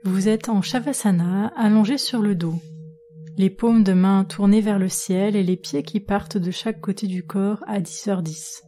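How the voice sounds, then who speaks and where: A woman yoga nidra instructor guiding a relaxation meditation in a calm, measured manner with long pauses. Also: mid-range voice. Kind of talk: guided relaxation meditation narration.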